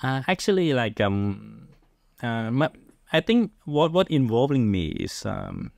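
A man's voice: medium pitch, very animated, measured pace, gravelly, darkish tone, no echo, clean studio sound. A middle-aged man from Thailand with a Thai accent, answering casually as an interview guest, often searching for words. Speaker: podcast guest, film director.

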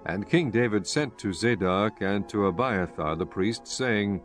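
A man's voice: fairly low pitch, expressive, unhurried, faint music behind. A man reading scripted narration from a dramatized scripture recording.

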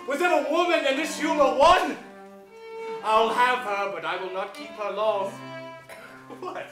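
High, scheming stage villain duke. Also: male voice, takes it slowly, long pauses, music in the background. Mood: gloating, exultant. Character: scheming, gloating villainous duke who feigns penitence. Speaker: stage actor. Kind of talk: theatrical Shakespearean stage reading. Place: stage in a theatre hall, distant camera. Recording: echoey theatre stage recording.

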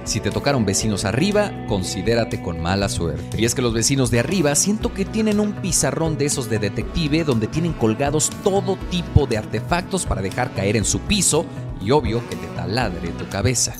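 Lively Mexican man hosting a comedy podcast. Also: medium pitch, normal speed, music in the background. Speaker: podcast host. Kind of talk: scripted comedic monologue.

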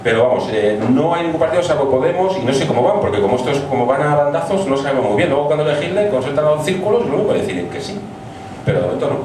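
A middle-aged man with a Spanish accent answering audience questions in a quick, conversational way that trails off. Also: on the high side, animated. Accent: Spain. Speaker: guest speaker. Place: small pub stage. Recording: echoey pub from far off, noisy.

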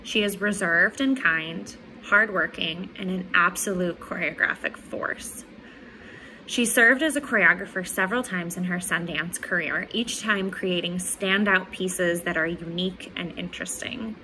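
A woman's voice: lowish voice, a little slow, pushed and bright, a little hiss or hum, low-quality recording. A woman in her twenties gives a scripted award tribute into a phone at arm's length. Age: 20s. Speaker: award presenter. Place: small room at home.

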